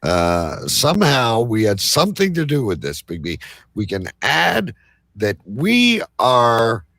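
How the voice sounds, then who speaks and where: A man hosting a live show in casual chat, with a halting, rambling delivery. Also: mid-range voice, wildly swooping pitch, fairly bright tone, no echo, clean studio sound. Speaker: host of a live online show.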